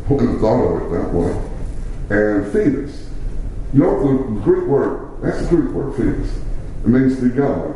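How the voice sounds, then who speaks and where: A middle-aged man preaching a sermon, slow and emphatic, with dramatic pauses. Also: medium pitch, mellow, a little hiss or hum. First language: English. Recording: very echoey room, faint background ambience.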